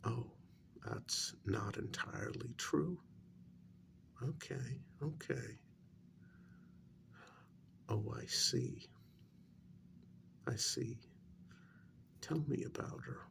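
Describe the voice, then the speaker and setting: A man playing a polite, composed agent interrogating a captive in a soft-spoken ASMR role-play, hesitant and measured, a little patronizing. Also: mid-range voice, creaky, background noise, exaggerated voice. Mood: frank, probing.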